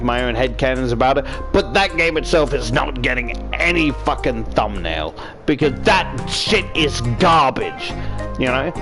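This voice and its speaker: Higher-pitched man ranting over loud music. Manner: profane, exasperated ranting with emphatic repetition. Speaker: video game reviewer, YouTuber. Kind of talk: casual rambling game commentary, reviewing.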